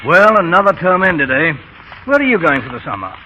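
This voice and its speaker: An actor playing a friendly, breezy English schoolmaster in a 1930s radio drama, hearty and clipped. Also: male voice, fairly high pitch, sing-song, a little fast, sharp tone, faint music behind. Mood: chummy. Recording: muffled, noisy 1930s radio recording.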